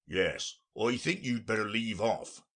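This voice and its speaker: A man voicing a brusque, bossy, easily offended beast in a storybook, with a curt, gruff manner. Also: on the low side, a little slow, a bit bright. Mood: brisk.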